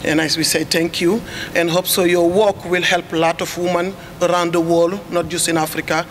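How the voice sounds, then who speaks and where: A woman from Senegal with a Senegalese accent, giving an earnest, halting welcome speech. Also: on the low side, flat delivery, a little hiss or hum. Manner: earnest, halting, searching for words. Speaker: chair of a community organization. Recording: echoey hall with faint clicks.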